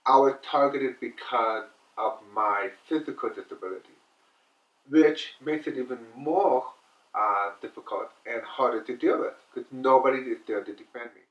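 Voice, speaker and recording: A man's voice: mid-range voice, normal speed, far from the mic. Earnest man on a dull, echoey recording. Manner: earnest, emphatic. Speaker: interviewee describing being bullied. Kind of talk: documentary interview.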